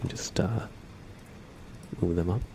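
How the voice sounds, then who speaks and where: A soft-spoken man who sounds slightly under the weather, chatting casually as an ASMR livestream host and often slipping into whispers. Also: low-pitched, fairly even intonation, slow pace, background noise.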